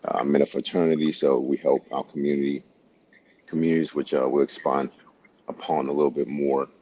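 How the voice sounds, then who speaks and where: A man's voice: very deep voice, little pitch movement, a little fast, mellow, lo-fi sound. A man from Honduras, a military medical officer, answering interview questions upbeat, often ending points with "right?".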